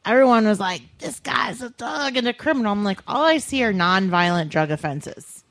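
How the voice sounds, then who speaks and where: A woman hosting a radio talk show, speaking in an outspoken, lively casual manner. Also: a little high, normal speed. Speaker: radio host. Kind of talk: casual chat.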